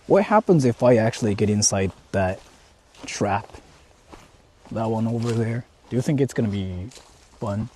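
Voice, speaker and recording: A man's voice: lowish voice, animated, moderate pace, pauses often, darkish tone. A man livestreaming a casual vlog outdoors, chatting to viewers and thinking aloud with plenty of swearing. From Japan. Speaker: livestreamer exploring haunted spots. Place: outdoors on the move in rural area. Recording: noisy outdoor livestream recording.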